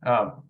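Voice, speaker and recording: A man facilitating a group training discussion in a deliberate, explanatory way, often rephrasing questions. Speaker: meeting facilitator. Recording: dull lo-fi meeting audio.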